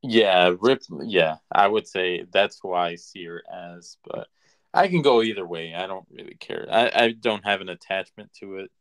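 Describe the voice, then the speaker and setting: A man co-hosting a podcast, chatting casually about movies in a laid-back, halting way. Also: low voice, fairly bright tone. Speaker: podcast co-host.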